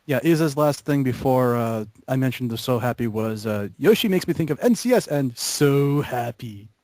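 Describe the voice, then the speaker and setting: A man rambling casually in a group chat, halting and self-deprecating as he piles one thought onto the next. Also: mid-range voice, expressive, a little mellow, no room sound. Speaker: gaming group member.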